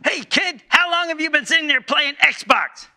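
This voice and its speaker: A man playing a bossy drill-sergeant general in a mock radio ad, barking brisk fitness commands at children. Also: very high-pitched, expressive, pushed and bright, heavily acted. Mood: commanding.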